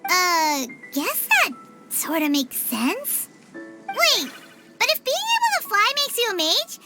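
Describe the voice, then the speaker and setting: A woman voicing a tiny, excitable, chatty fairy companion with a childlike squeaky voice in a video game. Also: slow, exaggerated voice. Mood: puzzled. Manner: refers to self in third person. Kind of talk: video game cutscene dialogue. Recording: music behind.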